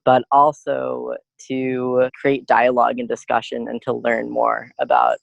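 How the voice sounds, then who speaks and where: A man's voice: medium pitch, measured pace, creaky, no room sound, muffled recording. A young adult man, a student guest in a podcast interview, speaking in an earnest, reflective way with frequent "you know" and "like".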